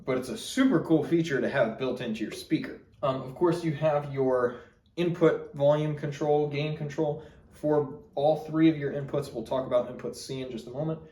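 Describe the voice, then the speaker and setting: A man's voice: fairly high pitch, dark tone, slight background noise. A man giving a casual, explanatory product review in an informal way. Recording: roomy echo, distant mic.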